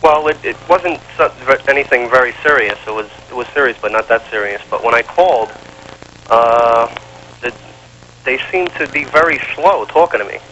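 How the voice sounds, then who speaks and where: Sharp-voiced male caller on dull line. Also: medium pitch, normal speed. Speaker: phone-in caller. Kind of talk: hesitant casual chat. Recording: dull phone line, faint noise.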